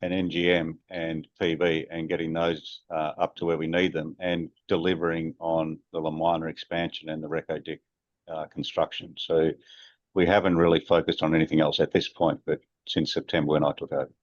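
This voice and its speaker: A male chief executive answers on an earnings call in a low-pitched voice. The sound is dry and slightly muffled, like band-limited conference audio. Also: flat delivery, brisk, dry recording.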